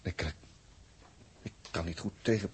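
A man's voice: deep voice, fairly even intonation, moderate pace, natural voice. An actor playing a frightened, squeamish man who stammers nervously and defensively in a 1960s radio drama. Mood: panicked, defensive. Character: squeamish, frightened former medical student, male. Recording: faint noise, old radio recording.

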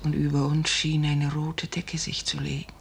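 An actress playing a devoted, foreboding noblewoman with hushed, solemn delivery in 1950s radio drama style. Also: low-pitched, flat delivery, normal speed, gravelly. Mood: haunted. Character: fearful, devoted, foreboding noblewoman, resolute in dread. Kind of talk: 1950s German radio drama stage acting. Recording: noisy 1950s radio recording.

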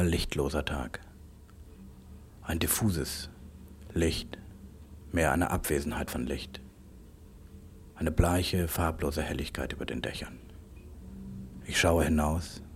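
A man recording an improvised spoken diary on tape in short, halting fragments. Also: rumbling low, fairly even intonation. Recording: faintly noisy tape recording.

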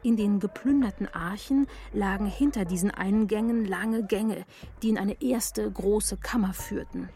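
An actress as an earnest female researcher in a radio play. She explains things plainly at an average pitch, over faint background music. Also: measured pace, mellow, lightly acted. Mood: matter-of-fact. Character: female ruin researcher, earnest and matter-of-fact. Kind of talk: German radio play acting.

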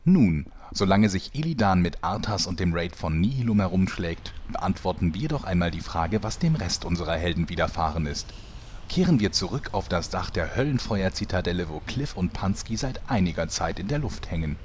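A man's voice: low voice, lively intonation, normal speed, darkish tone, a little hiss or hum. A man narrating a German comedy audio drama as a composed storyteller, in scripted narration. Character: composed storyteller linking the scenes.

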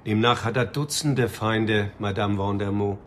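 An actor playing a calm, patient middle-aged police commissioner in a radio crime drama, with an understated, halting, matter-of-fact manner. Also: male voice, on the low side, a little hiss or hum, natural voice. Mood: curious.

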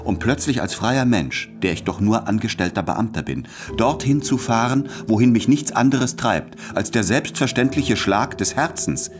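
An actor playing a conscientious, troubled civil servant torn by love, in an earnest and deliberate literary radio drama delivery. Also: male voice, medium pitch, animated, music in the background, lightly acted.

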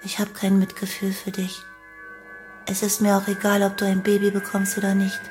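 Woman acting young cult killer girl, cold n detached, pretty monotone, radio play w music behind. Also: medium pitch, normal speed, close to an everyday voice. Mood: menacing. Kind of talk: first-person radio play monologue. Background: music bed.

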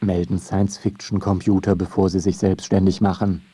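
Deep voiced guy narrating a radio doc, measured n a bit wry, music under him. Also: level tone. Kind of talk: scripted radio feature narration. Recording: studio narration over music bed.

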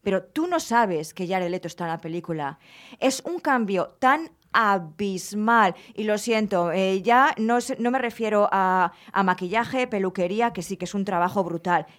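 An enthusiastic woman hosts a casual podcast chat with friends. She talks with clear emphasis, pressing her points and stretching words for effect. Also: mid-range voice, animated, normal speed. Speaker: podcast host.

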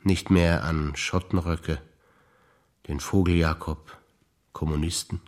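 An older man, a stage actor reciting memories in a rhythmic, deliberate listing manner with pauses. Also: extremely low pitch, steady tone, darkish tone.

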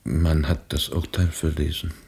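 An actor in a radio play, playing a grim, restrained revolutionary who speaks tersely and gravely. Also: male voice, level tone, unhurried, a little mellow, exaggerated voice. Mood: somber, subdued. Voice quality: gravelly, husky, very deep. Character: somber, reluctant male revolutionary, grim and restrained.

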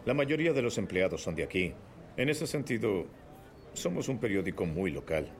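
An easygoing veteran news editor. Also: male voice, on the low side, sing-song, moderate pace. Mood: friendly. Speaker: investigative team editor. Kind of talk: Latin American Spanish film dub.

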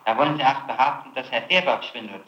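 Through a dull, echoey 1960s tape recording comes a man with a forceful, sharp-edged voice at a middling pitch. He conducts a formal interrogation as a prosecutor, pressing and challenging with his questions. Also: quick pace, a little hiss or hum.

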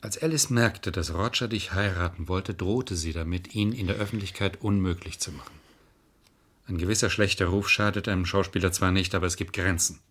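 Husky, low-voiced cynical lawyer. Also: male voice, fairly even intonation, brisk, fairly bright tone. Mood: coolly expository. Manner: sardonic, argumentative, matter-of-fact. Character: cynical, sharp-tongued male defence lawyer. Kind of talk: German radio crime play acting.

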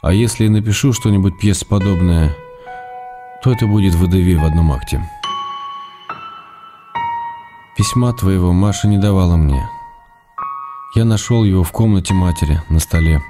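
An actor playing an ailing writer and tender, gently reproachful husband, reading his letters in a measured, intimate way for radio drama. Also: sits low, lightly acted. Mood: tender, calm. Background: music.

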